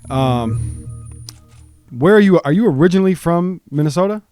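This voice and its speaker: Host: man, mid-pitched, curious and rambling, dry close sound. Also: expressive, normal speed, darkish tone, smooth, dry recording, faint music behind. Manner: curious, rambling questions with restarts. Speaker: show host. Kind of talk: casual interview hosting.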